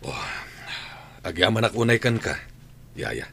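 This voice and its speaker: Deep, gravelly Filipino father. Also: sing-song, measured pace, fairly bright tone, slight background noise, heavily acted. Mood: grave, concerned. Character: grave, concerned father, authoritative employer. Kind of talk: radio drama acting.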